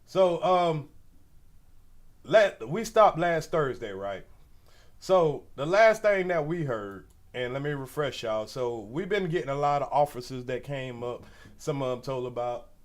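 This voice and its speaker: A man in his forties hosting a casual livestream commentary, slangy and rambling, with a stuffy nose. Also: mid-range voice. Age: 40s. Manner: loose, rambling, slangy, talks straight to viewers. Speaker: commentary channel host.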